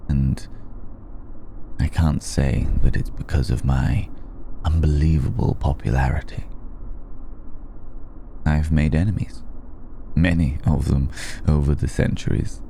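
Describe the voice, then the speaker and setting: A man playing an elegant, centuries-old vampire in an ASMR roleplay, speaking with measured, darkly polite menace and weary hesitation. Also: fairly even intonation, a little hiss or hum, heavily acted. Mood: grave, warning. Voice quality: very deep, gravelly, dark. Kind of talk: slow intimate ASMR roleplay addressed to listener.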